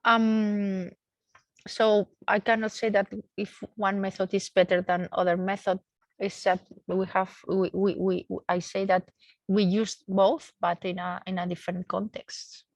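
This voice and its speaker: A woman's voice: medium pitch, flat delivery, measured pace, dry recording. A woman research engineer giving a technical explanation in a seminar, searching for words and often repeating them as she goes.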